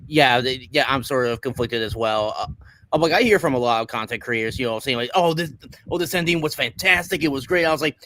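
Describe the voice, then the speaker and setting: Bright-voiced man, rambling co-host. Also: medium pitch, quick pace, no echo, poor audio. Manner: rambling, many fillers and repeated words. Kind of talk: casual anime review chat.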